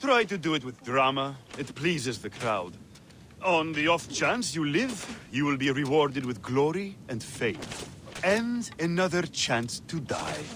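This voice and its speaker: An actor playing a scornful, theatrical arena owner and fight promoter in a video game cutscene. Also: male voice, on the high side, sing-song, unhurried, a bit bright, slight background noise, heavily acted. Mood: sardonic showmanship. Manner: sneering, theatrical, insulting showman.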